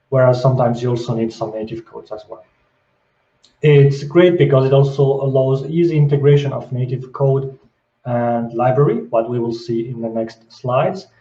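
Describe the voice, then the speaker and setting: Swiss guy walking through tech stuff, kinda far off the mic, roomy muffled sound. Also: medium pitch. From Switzerland. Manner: steady, explanatory, with fillers and repeated words. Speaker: conference speaker. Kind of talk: technical talk. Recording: distant, echoey, muffled remote call audio.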